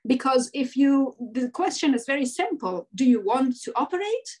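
Woman from Afghanistan, forties, panel guest, earnest and halting, fairly high voice, video call. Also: sing-song, a little mellow, breathy edge. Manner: earnest, hesitant with fillers and restarts. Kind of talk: online panel discussion. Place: home room with bookshelves.

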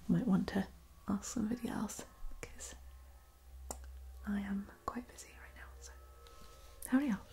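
A young woman playing a busy, brusque vampire housemate in an ASMR roleplay, hushed and politely impatient. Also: mid-range voice, unhurried, gravelly, pauses often.

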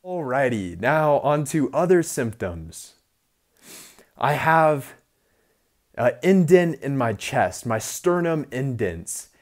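Young man, nasal, unhurried delivery, earnest upbeat vlogger speaking into an earphone mic in a small room. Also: mid-range voice, very animated. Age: young adult. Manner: upbeat, earnest, talks to camera. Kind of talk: casual self-improvement vlog. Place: small indoor room by a door. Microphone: earphone headset mic.

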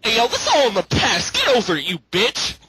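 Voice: mid-range voice, wildly swooping pitch, a little fast. An amateur fandubber voicing a brash, swaggering male street-gang rebel leader in slangy, profane, bombastic style on a home voice chat. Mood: pleading, brash. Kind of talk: amateur comedic fandub, improvised over a Skype call. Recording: clicky, noisy home voice-chat recording.

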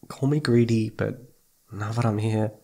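A man playing a needy, affectionate young boyfriend in an intimate ASMR roleplay, speaking in a soft, pleading, hesitant way. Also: fairly low pitch, fairly even intonation, unhurried, vocal fry. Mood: cozy, affectionate. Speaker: audio roleplay voice actor.

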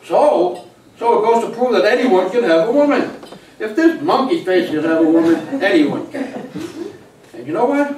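A man's voice: high-pitched, animated, normal speed, a little mellow. An elderly actor playing a teasing, wisecracking grandfather in a live comic stage play. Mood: gleeful storytelling. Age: 70s. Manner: wisecracking storyteller. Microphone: distant camera. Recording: echoey small theater, distant camera, hiss.

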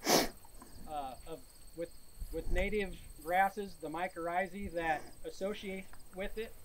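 A high-pitched man explains findings in a measured, steady way outdoors. Insects and outdoor noise sit clearly behind his voice on an open prairie. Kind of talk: outdoor field day Q&A.

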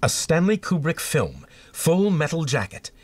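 A man's voice: fairly high pitch, wildly swooping pitch, unhurried, slightly rough, no echo, heavily acted. An actor playing an eager male voice-over artist announcing a film trailer in a radio drama.

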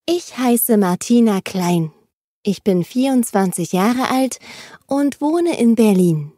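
A woman reading first-person texts aloud for language learners, with a steady, neutral scripted delivery. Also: on the high side, slow pace, dry recording, studio quality. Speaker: reader of a language-learning text.

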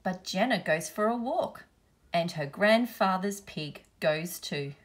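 A woman reading a children's picture book aloud in measured, deliberate phrases. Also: fairly low pitch, expressive. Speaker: storybook reader, teacher.

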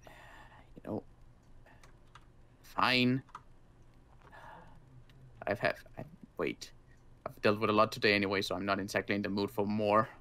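A man playing a weary, wary zombie-apocalypse survivor in an improvised game roleplay, halting, sarcastic and guarded. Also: fairly low pitch, steady tone, sharp tone.

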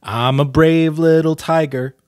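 A man voicing a brave little tiger cub from a storybook, singing to himself in a slow sing-song. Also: fairly high pitch, steady tone, darkish tone, dry recording, studio quality, pitched above the performer's own voice, close to an everyday voice.

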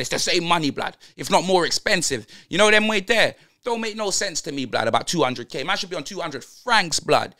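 A man's voice: sits high, pushed and bright, heavily acted. A man playing a brash young London roadman football fan, ranting in heavy slang and tagging lines with blood and fam. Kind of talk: comedic football rant.

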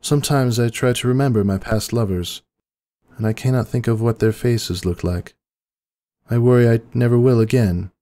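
A man's voice: mid-range voice, moderate pace, dark tone, voice pushed up, natural voice. A man voicing a solemn, earnest younger-sister pony princess, speaking formally and hesitantly in a story reading. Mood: melancholy.

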